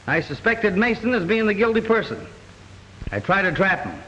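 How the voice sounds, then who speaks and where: An actor playing a brash young detective who takes charge, wisecracking in a 1940s radio drama. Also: male voice, high voice, sharp tone, muffled recording. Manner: breezy, commanding, wisecracking. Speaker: detective lead. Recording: old radio recording with hall reverb and faint hiss.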